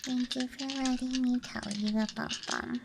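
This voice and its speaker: Female ASMR whisperer: breathy, hushed, very slow and gently rambling, close-miked over noticeable night ambience and clicks. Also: on the high side, steady tone, noisy background. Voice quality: breathy whisper, mostly unvoiced. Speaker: ASMR streamer. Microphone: close microphone. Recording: night nature ambience, clicking and rattling sounds. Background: night campground ambience, dice and case clicks.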